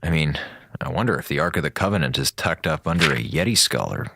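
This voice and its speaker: An actor playing a wisecracking, easily distracted radio broadcaster on a space station, rambling casually and sarcastically in an audio drama. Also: male voice, extremely low pitch, lively intonation, quick pace, vocal fry, background noise, exaggerated voice. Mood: curious, amused. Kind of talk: audio drama, chatty monologue to listeners.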